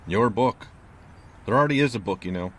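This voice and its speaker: Gruff, low-voiced wary landlord. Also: male voice, lively intonation, a little fast. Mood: curt, dismissive. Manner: gruff, curt, folksy. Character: gruff, wary male landlord of a haunted house. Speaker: landlord of a haunted house. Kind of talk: audio drama acting. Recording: clear background noise.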